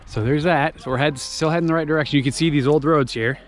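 A man in his thirties walking a wooded trail and talking to a handheld camera, chatty and excited about what he finds. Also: a little high, fast talker, faint music behind. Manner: enthusiastic, chatty, exclaims often. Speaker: vlogger. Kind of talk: casual walking vlog narration. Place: outdoors on a wooded mountain trail. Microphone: camera held at arm's length.

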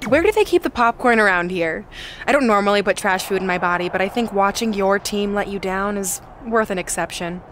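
A woman's voice: mid-range voice, brisk, noisy background, natural voice. An actress playing a smug, sarcastic, tough woman rival in a wrestling video game. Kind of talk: scripted video game cutscene acting.

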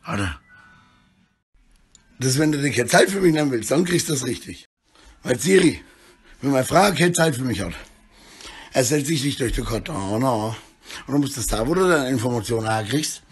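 Middle-aged man, husky voice, disjointed rambling, frequent questioning tags, phone selfie monologue. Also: medium pitch, expressive, normal speed, pauses often. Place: small room indoors. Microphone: phone held at arm's length.

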